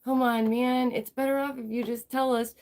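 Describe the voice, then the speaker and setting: A woman's voice: a little high, flat delivery, moderate pace, darkish tone. A woman with a nasal, congested voice, still getting over a cold, hosts a live news commentary stream. She leans hard on emphasis, repeats lines for effect and keeps throwing rhetorical questions at her viewers. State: stuffy, recovering from a cold. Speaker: livestream host.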